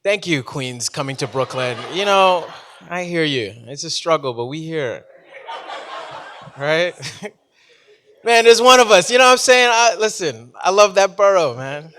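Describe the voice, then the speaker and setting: A man from New City, performing spoken-word poetry live in an animated, playful way and talking directly to his audience. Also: fairly high pitch, a little slow, a little hiss or hum. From New York City, United States. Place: live event before an audience.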